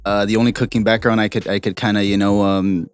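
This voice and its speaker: A young man, a street food vendor, telling stories in a relaxed, rambling way with lots of fillers. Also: on the low side, fast talker, clean tone, soft background music. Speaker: guest, street taco vendor. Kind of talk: casual podcast chat.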